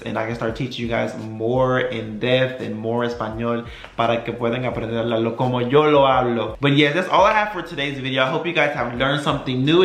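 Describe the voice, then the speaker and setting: A young man from Texas giving a casual video lesson, repeating phrases slowly and checking in with "right?" as he goes. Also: mid-range voice, a bit bright, echoey room. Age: young adult. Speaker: Spanish teacher. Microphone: camera mic.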